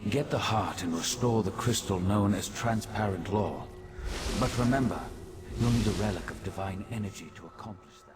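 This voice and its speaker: A grave fantasy guide played by a man, solemn and directive. His voice sits fairly low, with clear noise of game ambience behind it. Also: normal speed, lightly acted. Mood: solemn, urgent.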